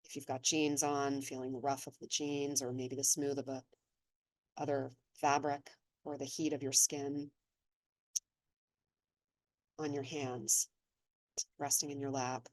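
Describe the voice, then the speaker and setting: Low-voiced woman guiding a meditation. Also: flat delivery, dry recording. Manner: slow, gentle, soothing. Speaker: meditation guide. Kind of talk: guided meditation. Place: seated in an office chair.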